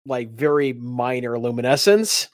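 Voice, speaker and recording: A man's voice: mid-range voice, expressive, measured pace, no echo, studio quality. A man acting as game master, bantering casually and narrating a tabletop game.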